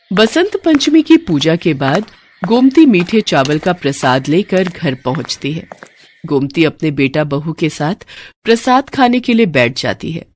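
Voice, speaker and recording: Lowish woman storyteller, very dry sound. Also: no room sound. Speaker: narrator. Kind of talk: scripted storytelling narration for cartoon.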